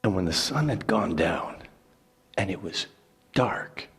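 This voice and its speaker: A man narrating scripture as a dramatic reading, with deliberate pauses and theatrical emphasis. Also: low voice, animated, fairly bright tone, breathy edge. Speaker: scripture reader.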